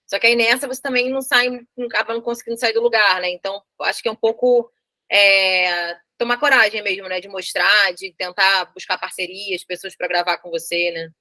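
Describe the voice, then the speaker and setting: A Brazilian woman with a bright voice at an average pitch, recorded very dry. She chats informally, as in a relaxed interview, often adding tag questions to check agreement. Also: fairly quick, no room sound. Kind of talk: casual making-of interview chat.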